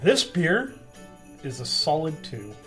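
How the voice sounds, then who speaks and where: A man's voice: fairly high pitch, huge pitch swings, slow, fairly bright tone, soft background music. A man co-hosting a review show in casual comic banter, giving wry, brief replies. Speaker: beer review show co-host.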